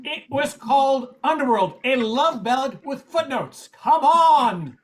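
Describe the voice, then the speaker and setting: Mocking, quippy comedy writer, lively swooping delivery, amateur radio comedy; male actor. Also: very high voice, measured pace. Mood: mocking, brisk. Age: older. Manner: sardonic, quippy.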